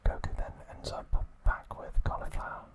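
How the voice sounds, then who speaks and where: An ASMR host whispering softly and gently in a breathy, unvoiced voice, recapping trivia in an unhurried way. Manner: soft, gentle, unhurried storytelling.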